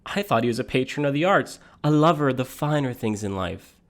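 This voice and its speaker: Flustered, catty jilted man, swooping in pitch, acted. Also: lively intonation, fairly quick, lightly acted. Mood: catty, exasperated. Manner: rambling, incredulous, campy complaining. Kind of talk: theatrical comic monologue.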